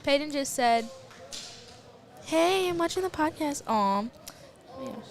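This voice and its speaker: A young female commentator in her teens with an American accent and a high voice. She talks informally and chattily, drifting off on asides as she covers live sport. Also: expressive, measured pace, a little hiss or hum. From Texas, United States. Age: teenager. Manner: chatty, informal, easily sidetracked. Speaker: youth broadcast commentator.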